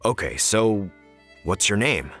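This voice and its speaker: A man voicing an earnest, good-natured villager in a video game, with an expressive delivery. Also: lowish voice, slow, soft background music, full character voice. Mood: friendly, formal. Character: weary but good-natured villager turned contest judge.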